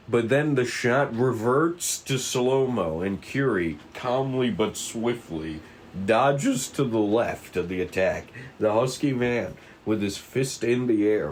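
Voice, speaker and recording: A man's voice: mid-range voice, slight background noise. A man reading stage directions aloud as narrator at a script table read.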